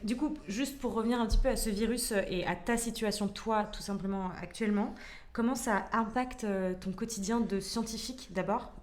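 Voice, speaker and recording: A woman's voice: medium pitch, normal speed. A woman hosting a podcast interview, asking questions and giving short prompts. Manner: brief prompts and backchannel. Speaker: podcast host.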